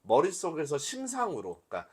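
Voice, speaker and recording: A man's voice: a little high, wildly swooping pitch, fairly quick, a little mellow, breathy edge. A man instructing a workshop in an informal live lecture style, talking conversationally to his listeners and explaining things step by step. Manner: conversational, addresses listeners and asks rhetorical questions.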